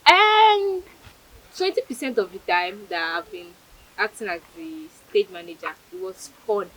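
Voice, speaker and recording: A woman's voice: mid-range voice, very animated, a little slow, fairly bright tone, a little hiss or hum, rough recording. A young woman with a Nigerian accent answering informally in an interview as a student stage manager. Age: young adult.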